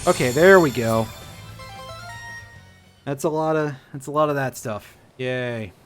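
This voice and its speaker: A man's voice: medium pitch, unhurried. A man giving casual, wry, self-deprecating live commentary as he streams a video game. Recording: game music behind the voice. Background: video game music and effects.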